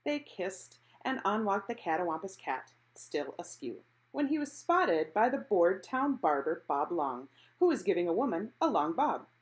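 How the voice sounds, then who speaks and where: Animated woman reading a children's storybook. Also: medium pitch, moderate pace, hardly any pauses, low-quality recording. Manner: animated, acting out characters' exclamations. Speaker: picture book reader. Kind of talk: expressive children's storybook read-aloud.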